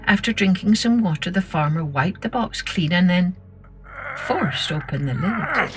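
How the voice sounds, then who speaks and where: A woman narrating a scripted children's story in a measured, expressive storytelling manner. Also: lowish voice, noisy background. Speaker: storytelling podcast host.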